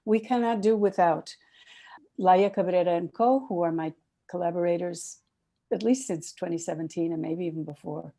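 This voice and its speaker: A woman hosting an online festival, giving opening remarks and introducing the program in measured, formal phrasing. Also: fairly low pitch, warm tone.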